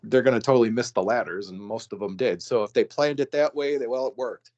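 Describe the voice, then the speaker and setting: A man's voice: mid-range voice, quick pace, a little mellow, lo-fi sound. Rambling, laughing podcast host man. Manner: rambling, hesitant, many fillers and laughs. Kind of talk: casual chat.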